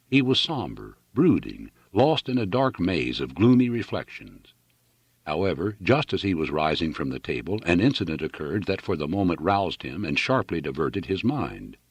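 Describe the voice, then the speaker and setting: A man's voice: sits low, slightly rough, no room sound. A man reading a literary novel aloud as an audiobook narrator, in a dramatic storytelling style.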